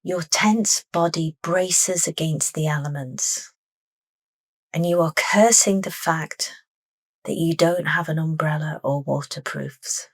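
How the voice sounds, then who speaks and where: Female meditation narrator: low register, soft and deliberate, dry studio-like sound. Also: dry recording. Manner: soft, unhurried, deliberate phrasing. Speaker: meditation guide.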